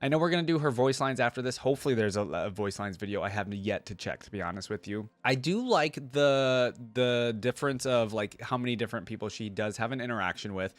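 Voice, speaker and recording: A man's voice: mid-range voice, expressive, fast, hardly any pauses, no room sound. Dry-sounding man doing casual reaction commentary. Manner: informal, conversational, thinking aloud. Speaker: reaction video host.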